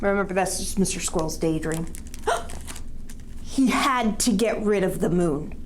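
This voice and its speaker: A woman's voice: on the low side, moderate pace, a little hiss or hum. A woman reading a picture book aloud to young children, expressively, with questions and asides to her listeners.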